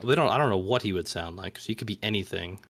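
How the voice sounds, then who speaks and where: A man's voice: low voice, animated, fast, a bit bright, no room sound. A man chatting casually as a podcast guest, hesitant and self-deprecating. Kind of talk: casual interview chat.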